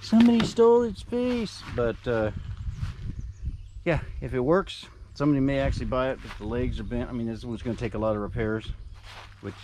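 A man's voice: medium pitch, animated, brisk, noisy background. Chatty man outdoors. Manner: chatty, rambling, jokey asides. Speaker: storage unit reseller vlogger. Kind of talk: casual walkthrough commentary. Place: outdoors on a rural lawn.

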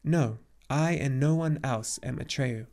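A man's voice: medium pitch, a little slow, a little mellow, lightly acted. Boy hunter, about ten, played by adult male narrator: proud, terse, solemn, fantasy audiobook.

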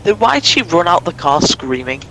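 Lively, higher-pitched young man teasing over games. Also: moderate pace, a little hiss or hum, low-quality recording. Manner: teasing, mock-dramatic. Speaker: gaming co-player. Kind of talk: casual gameplay banter. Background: music and game sounds.

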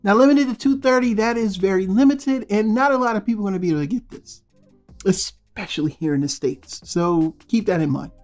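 A man's voice: high-pitched, brisk, a little mellow, lo-fi sound, soft background music. A man hosting a toy news channel, speaking as a blunt, slangy, rambling voice-over over still images. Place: voice-over, not in pictured place.

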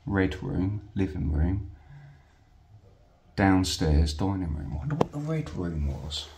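A man, chatting casually while exploring a dark abandoned house. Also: low voice, very animated, slow, vocal fry, background noise. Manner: chatty, easily impressed, matey. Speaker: urban explorer host.

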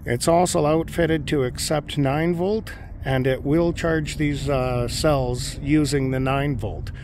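A man's voice: fairly high pitch, fairly even intonation, takes it slowly. Matter-of-fact man, noisy outdoor recording. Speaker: amateur radio hobbyist presenting gear. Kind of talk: informal gear walkthrough narration. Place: outdoors on a lawn. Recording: outdoor recording with clear background noise.

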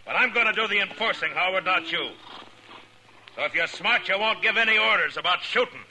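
An American actor playing a stern, fair, world-weary frontier marshal in a 1950s radio western, terse and authoritative. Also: male voice, high voice, a little fast, bright, forceful tone, heavily acted. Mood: firm. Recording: noisy vintage 1950s radio recording.

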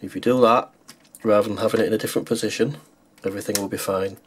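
A man's voice: on the low side, steady tone, fast, a little hiss or hum. A man narrating a hands-on how-to as a tutorial host, explaining plainly step by step.

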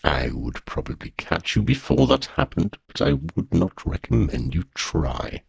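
A man voicing a rumbling, gloating she-dragon. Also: big pitch swings, a little slow, creaky, breathy edge, no room sound, clean studio sound, heavily acted. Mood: menacing. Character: huge scheming female dragon, smug and menacing.

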